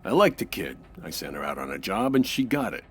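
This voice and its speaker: An actor playing a sardonic, menacing gangster boss in a comedic old-time radio crime drama, husky and clipped. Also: male voice, low voice, very animated, a little fast, slight background noise. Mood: cold, impatient. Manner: clipped wisecracking tough-guy talk.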